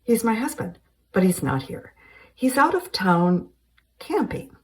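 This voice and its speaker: Frazzled suburban housewife, read by a woman; squeaky and exasperated. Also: sing-song, measured pace, far from the mic. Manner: exasperated, high-pitched.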